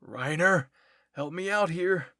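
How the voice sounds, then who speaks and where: A man voicing a furious, desperate young male soldier, shouting with strain in a dramatic story. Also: fairly high pitch, takes it slowly, no room sound, clean studio sound, voice pushed up, exaggerated voice.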